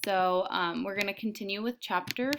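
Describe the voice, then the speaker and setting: A woman's voice: mid-range voice, moderate pace, noisy background. A woman teacher talking to pupils and narrating a children's book aloud. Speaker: elementary teacher reading aloud.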